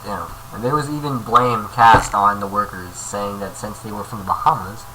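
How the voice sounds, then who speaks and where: A man's voice: on the low side, steady tone, normal speed. Guy reading a creepypasta kinda casually, trips on words, throws in side comments, noisy homemade audio. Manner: informal, stumbles over words, jokey interjections. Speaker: creepypasta reader, video host. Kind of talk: casual narration reading a horror story with off-script asides. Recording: noisy amateur home recording.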